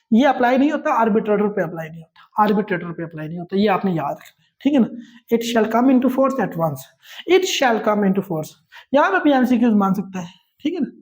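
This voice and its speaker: A man's voice: very high voice, a little mellow. A man with a Pakistani accent lecturing as a law teacher, reading aloud and explaining in a repetitive, instructional way with frequent check-in tags.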